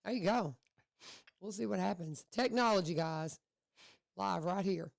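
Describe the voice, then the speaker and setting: A woman hosts a casual radio talk show in a fairly low, gravelly voice. She keeps her guest going with lots of short, encouraging affirmations. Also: measured pace. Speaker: radio host. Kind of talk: casual radio interview chat.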